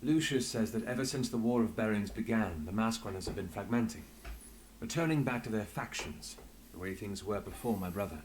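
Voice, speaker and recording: An actor playing a firm, earnest adventurer who leads a party in a video game, direct and familiar in manner. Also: male voice, on the low side, a little fast, noisy background, natural voice. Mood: businesslike.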